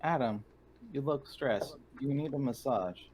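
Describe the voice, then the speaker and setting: A man's voice: mid-range voice, unhurried, a crowd in the background, poor audio. A man playing a tabletop game, speaking in character and describing actions in formal, precise wording. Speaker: player in a tabletop RPG.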